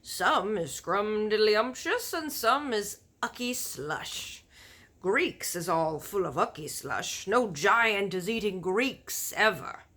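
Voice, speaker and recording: A woman's voice: medium pitch, expressive. A woman voicing a huge, boisterous giant in a children's storybook, declaiming emphatically with mangled folksy grammar. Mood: boastful, explaining. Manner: loud, emphatic, drawn-out declaiming. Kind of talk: children's storybook read-aloud.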